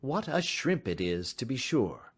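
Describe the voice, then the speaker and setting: A man voicing a sharp, self-assured gentleman detective in an audiobook, speaking in a crisp, measured, gently ironic way. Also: mid-range voice, sing-song, voice pushed up. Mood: confident. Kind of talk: audiobook reading.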